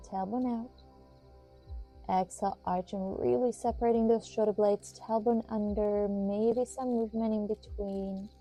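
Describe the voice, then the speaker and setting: A woman with a very dark, mellow voice at a medium pitch leads a guided yoga session. She instructs in a soothing, measured manner, leaving pauses between short phrases. Music plays behind her.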